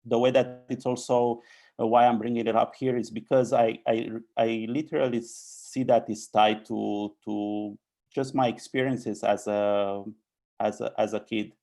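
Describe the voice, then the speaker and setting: Slow, gravelly man. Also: mid-range voice, little pitch movement, warm tone. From Romania. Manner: halting, many fillers and restarts. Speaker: architect and author. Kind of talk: hesitant conversational answers.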